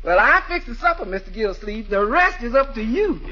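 An actress playing a good-humored, frank housekeeper with a folksy, teasing, chuckling manner in a 1940s radio comedy. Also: female voice, on the high side, sing-song, fairly quick. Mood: proud, sly. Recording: muffled, faintly noisy 1940s radio recording.

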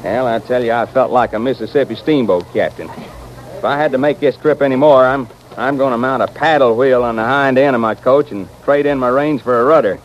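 A man plays a salty frontier coachman who grumbles and spins tall tales. His voice is mid-pitched, with folksy backwoods phrasing. The old radio western recording is dull and slightly noisy. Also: brisk, natural voice. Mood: grumbling, boastful. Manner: folksy, colorful backwoods drawl. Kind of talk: 1940s-50s radio western acting.

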